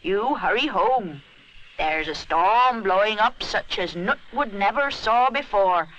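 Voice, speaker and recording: A woman voicing a very old, wise woman who speaks in slow, deliberate warnings. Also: medium pitch, animated, fairly bright tone, highs cut off. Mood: knowing.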